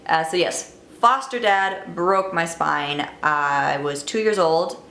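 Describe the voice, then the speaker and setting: A woman's voice: on the low side, slow pace, fairly bright tone, slight background noise. A twenty-year-old woman vlogging, telling her life story to camera in a candid, wry, chatty way. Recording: echoey room, faint ambience.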